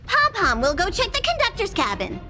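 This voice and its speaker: Very high-pitched little mascot creature. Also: female voice, wildly swooping pitch, a little fast, full character voice. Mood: earnest, worried. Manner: refers to itself in third person. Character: small childlike train conductor mascot creature, easily frightened, earnest. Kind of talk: video game voice acting. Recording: music behind the voice.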